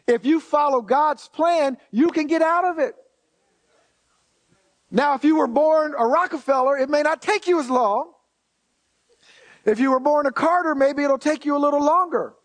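A man's voice: extremely high pitch, moderate pace, long pauses. A man preaching a sermon to a congregation, emphatically repeating key phrases and slipping in conversational asides and rhetorical questions.

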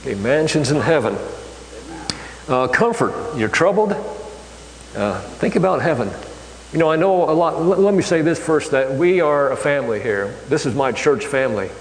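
An older man giving a sermon in a church, talking in a conversational, halting way with many fillers and restarts. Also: fairly high pitch, expressive. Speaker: preacher. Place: church hall. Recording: echoey hall, faint hum.

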